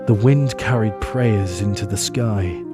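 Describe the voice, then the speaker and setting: A man narrating an audio drama in a solemn, measured storytelling manner. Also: on the low side. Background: music underneath.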